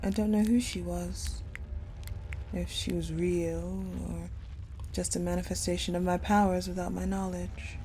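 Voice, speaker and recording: A woman playing a cold, possessive female general in an intimate audio roleplay monologue, speaking in a hushed, deliberate way with pauses. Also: fairly low pitch, level tone, a little hiss or hum. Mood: haunted, wistful. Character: cold, possessive ice general confiding in her captive beloved. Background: music.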